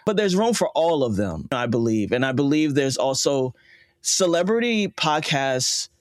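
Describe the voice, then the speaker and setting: A man's voice: medium pitch, big pitch swings, moderate pace, no room sound, clean studio sound. A man speaking as a podcast guest in a conversational interview, encouraging and emphatic. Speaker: podcast guest, independent audio creator.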